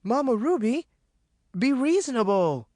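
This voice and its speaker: A man's voice: very high-pitched, big pitch swings, a little slow, a little mellow, no room sound, clean studio sound, voice pushed up, full character voice. A man voicing a feisty young woman in an audiobook, alarmed, hollering and begging urgently. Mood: frightened pleading. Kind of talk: audiobook character acting.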